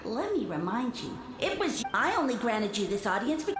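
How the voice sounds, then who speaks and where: A woman's voice: a little high, sing-song, a little fast. A woman voicing a haughty, condescending mushroom spirit sage in a video game, in a theatrical, pompous manner. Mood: irritated, scornful. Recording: echoing voice under game music.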